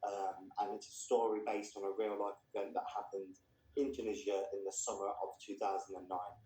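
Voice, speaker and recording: A man's voice: on the low side, level tone, fairly quick, dark tone. A man presenting and reading literary fiction aloud as a storyteller. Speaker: reader introducing and reading a story. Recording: echoey room, distant microphone.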